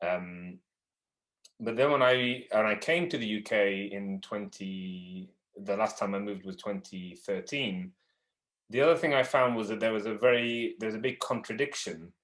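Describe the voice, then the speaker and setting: A man with a Liverpool accent speaks in a lowish voice, earnest and measured as he presents to an online panel. His delivery is steady, with a few restarts, and it comes through a video call. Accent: Liverpool English. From Palestine and the UK.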